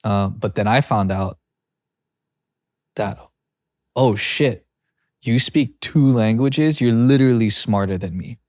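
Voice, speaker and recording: A young adult man producing and co-hosting a podcast, talking in an animated, rambling way and laughing easily. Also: lowish voice, slow pace, pauses often, no room sound, studio quality. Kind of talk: casual conversation.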